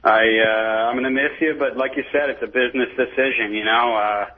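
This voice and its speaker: A man's voice: medium pitch, fairly even intonation, fairly quick. Chatty male caller on a phone line. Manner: casual, loyal, chatty. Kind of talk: call-in radio talk.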